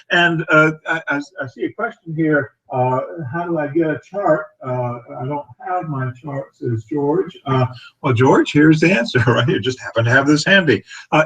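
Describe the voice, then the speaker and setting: A man in his sixties teaching astrology in a home-study webinar, talking haltingly with many fillers and restarts and little chuckles, recorded on a desk webcam. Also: on the high side, fairly bright tone, breathy edge, poor audio. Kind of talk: casual live webinar talk. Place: home study lined with bookshelves.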